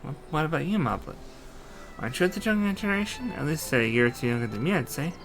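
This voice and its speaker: A man's voice: fairly high pitch, animated, fast talker, a bit bright, soft background music. A man voicing an earnest, impulsive young royal heir in a fantasy video game, speaking emphatically. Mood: candid. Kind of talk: amateur character voicing of game dialogue.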